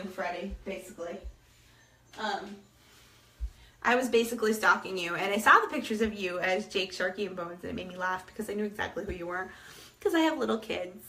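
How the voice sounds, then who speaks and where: Woman, 30s, host with a bright voice who is losing it to a cold; gushing, chatty, soft music behind. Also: mid-range voice. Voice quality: soft-spoken, fading voice. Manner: chatty and gushing, many fillers. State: sick, losing her voice. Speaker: livestream host. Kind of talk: casual chat to viewers.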